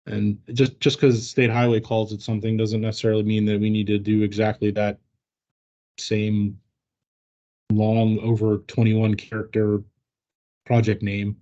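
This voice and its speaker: A man leads an informal work training discussion, answering questions as he goes. His voice is slightly mellow and he explains in a halting, hedging manner. The sound is dull and lo-fi, like an online meeting. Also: on the low side, monotone.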